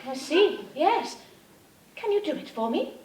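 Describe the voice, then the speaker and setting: A woman's voice: sits high, big pitch swings, unhurried, darkish tone. A woman playing a polite, earnest, admiring film actress in a live comic radio parody. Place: theatre stage. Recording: echoey stage, distant mic, faint noise.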